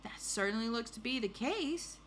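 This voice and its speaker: A woman's voice: fairly high pitch, expressive, moderate pace, a bit bright, a little hiss or hum, pitched above the performer's own voice. A woman voicing an earnest, formal policeman who questions and muses aloud in a storybook. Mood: puzzled, musing.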